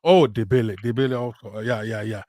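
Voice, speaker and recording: A male host with a mid-pitched voice who hesitates and restarts often while welcoming and questioning callers. His voice is recorded dry and close, with no room echo. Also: fairly even intonation, a little fast, mellow. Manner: hesitant, many fillers and restarts. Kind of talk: live call-in talk show.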